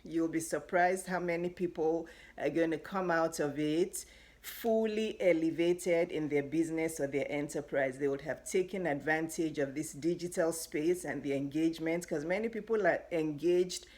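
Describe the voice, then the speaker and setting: A woman with a Nigerian accent talks in a low, easy voice, coaching her listeners on getting ahead. She is chatty and encouraging and laughs lightly between phrases. She sounds middle-aged and speaks straight to her audience. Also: moderate pace, a little mellow. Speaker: life coach. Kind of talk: casual motivational talk to camera.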